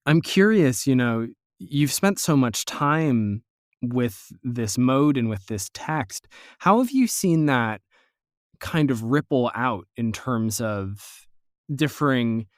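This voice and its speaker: Slow-talking, reflective male podcast host. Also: mid-range voice, expressive, no room sound, clean studio sound. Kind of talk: thoughtful interview conversation.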